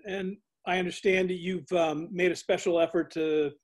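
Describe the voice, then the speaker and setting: A man's voice: high voice, fairly even intonation, normal speed. An older man hosting a video call interview, friendly and asking open questions. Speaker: host, interviewer. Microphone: laptop on video call.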